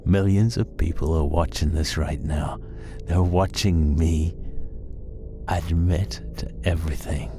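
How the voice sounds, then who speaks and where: A man voicing a coldly intense, menacing male killer in a horror audio story, speaking deliberately with ominous pauses. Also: extremely low pitch, steady tone. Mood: cold menace. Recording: loud music under the voice. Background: music.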